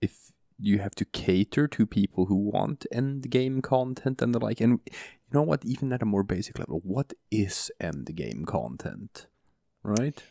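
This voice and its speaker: A male podcast co-host with a booming voice, talking softly and slowly right next to the microphone in casual chat, often chuckling. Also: expressive, no room sound. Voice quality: booming, gravelly voice. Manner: soft, close-mic murmur, chuckles often. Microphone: close studio mic.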